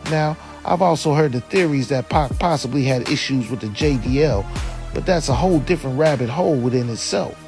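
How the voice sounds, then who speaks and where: A man's voice: mid-range voice, a little fast, a little mellow. A man giving scripted true-crime commentary as a video narrator, in an opinionated, slangy manner with some profanity. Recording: voice-over with faint music behind.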